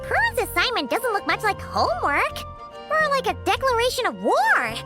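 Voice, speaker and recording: A woman voicing a tiny, childlike, excitable fairy companion in a video game, speaking of herself in the third person. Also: extremely high pitch, moderate pace, music in the background, full character voice. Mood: amused, chatty. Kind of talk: video game cutscene voice acting.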